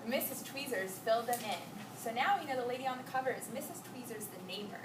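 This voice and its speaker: Woman doing storytime for kids, high voice, expressive, noisy recording from far off. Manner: expressive, pausing to ask and echo children's answers. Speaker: teacher reading aloud to children. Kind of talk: storybook read-aloud with questions to children. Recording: echoey, noisy room, distant mic.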